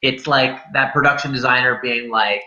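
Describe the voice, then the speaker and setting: Male film chat host, mid-pitched, bright and punchy, emphatic slang, slightly dull lo-fi recording. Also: fairly even intonation, moderate pace. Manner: emphatic, colloquial, swears freely. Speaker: film review show host.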